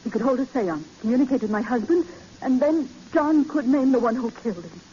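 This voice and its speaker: An actress playing an earnest, anxious widow with a melodramatic, pleading manner in old-time radio style. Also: female voice, a little high, animated, brisk, mellow, slight background noise, lightly acted. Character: anxious, earnest, credulous wife, newly widowed. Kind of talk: 1940s radio acting. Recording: muffled old radio recording.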